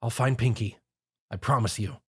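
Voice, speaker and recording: A calm, level-headed young man in a storybook reading, done by a man in a near-natural voice. He sounds low-key and dry. It is an ordinary mid-pitched male voice. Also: normal speed, breathy edge, no room sound.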